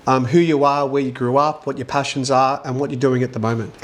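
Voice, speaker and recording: A man's voice: medium pitch, fairly even intonation, a little fast. A man hosting a podcast interview, upbeat and jokey, chatting casually with his guest. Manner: upbeat, jokey, lots of "yeah". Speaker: podcast host.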